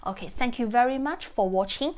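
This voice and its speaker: A woman's voice: a little high, animated, a little fast, a little mellow. A young woman from China with a Chinese accent, giving casual travel tips to camera from her sofa, chatty and prone to restarting her phrases. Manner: chatty, repeats and restarts phrases, non-native grammar. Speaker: vlogger. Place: living room, seated on a leather sofa. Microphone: camera in front, framed from the chest down.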